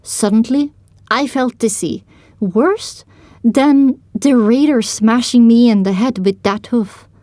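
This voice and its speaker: Woman narrating an audio drama in first person, highish voice, very dry sound. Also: slow, warm tone, dry recording.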